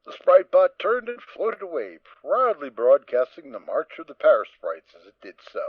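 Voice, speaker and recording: Expressive male storybook narrator. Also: on the high side, normal speed, no echo. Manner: expressive reading. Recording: dull, dry lo-fi recording.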